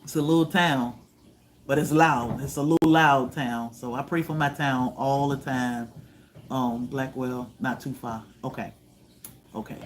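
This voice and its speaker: A man from Virginia, hosting a homestead livestream, chatting with viewers in a relaxed way, often letting his voice trail off softly. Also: mid-range voice, unhurried, slight background noise. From Virginia, USA. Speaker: homestead channel host. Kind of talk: livestream chat answering viewers.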